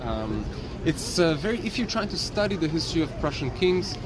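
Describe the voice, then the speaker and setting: A man leading a walking tour outdoors in a city, talking in a lively, rambling way with many fillers. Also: on the high side, a little fast, background noise. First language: not German. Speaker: tour guide. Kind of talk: live walking tour talk.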